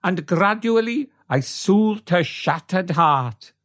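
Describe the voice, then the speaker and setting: Slow, soulful Welsh sheep whisperer. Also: male voice, high-pitched, expressive, fairly bright tone, full character voice. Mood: solemn, self-important. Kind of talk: comic radio play acting.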